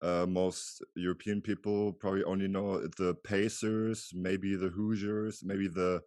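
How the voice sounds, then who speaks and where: A man's voice: low-pitched, flat delivery, unhurried, darkish tone, no echo, clean studio sound. A man in his thirties from Germany, a native German speaker, hosting a podcast and interviewing casually.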